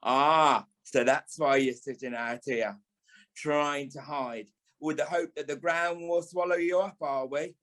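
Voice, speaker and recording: Man playing a high-voiced, drawling, flamboyant British dame. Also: steady tone, measured pace, fairly bright tone. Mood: wry, teasing. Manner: theatrical, tactless, drawling, calls people darling and lovey. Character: flamboyant, blunt older former dancer and ballet teacher, a dame. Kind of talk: audio play acting.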